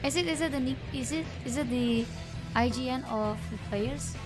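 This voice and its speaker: A woman's voice: high voice, normal speed. A woman with an Indonesian accent commentates a game live, chatting playfully and informally with viewers and often repeating her phrases. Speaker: esports livestream caster. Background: music.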